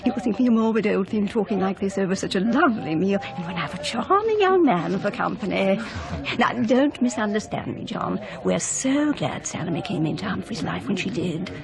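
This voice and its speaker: A woman's voice: mid-range voice, sing-song, normal speed, vocal fry, crowd noise. An actress playing a well-meaning, motherly middle-aged society wife in a radio drama, chatting in a genteel, confiding voice. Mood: confiding, gossipy. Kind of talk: 1990s British radio drama acting.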